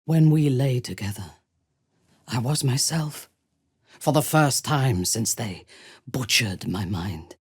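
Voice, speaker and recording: A woman voicing a ruthless, sensual female warrior commander in a fantasy video game, with a husky, imperious and measured menacing delivery. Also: extremely low pitch, lively intonation, darkish tone, no room sound, clean studio sound, exaggerated voice. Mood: intimate, solemn. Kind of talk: dramatic fantasy game dialogue.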